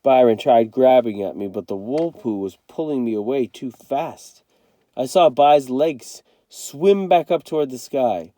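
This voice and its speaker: A man reading a children's novel aloud in an expressive, dramatic storytelling style. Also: medium pitch, measured pace, no room sound. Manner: dramatic, acts out the dialogue. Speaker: read-aloud reader, teacher.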